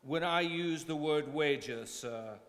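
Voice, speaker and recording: A man in a stage radio drama playing a stern gentleman card player, emphatic and combative in manner. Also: a little high, takes it slowly. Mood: challenging. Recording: reverberant studio hall.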